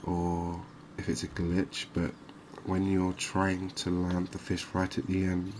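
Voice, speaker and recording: A soft-spoken man giving unhurried, soothing ASMR commentary over a video game. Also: sits low, completely flat delivery, a little hiss or hum. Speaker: gaming video host.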